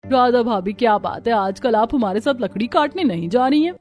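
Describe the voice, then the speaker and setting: A woman voicing earnest, enterprising village women in scripted cartoon dialogue, with an expressive, homely manner. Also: fairly high pitch, brisk, lightly acted. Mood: friendly, earnest.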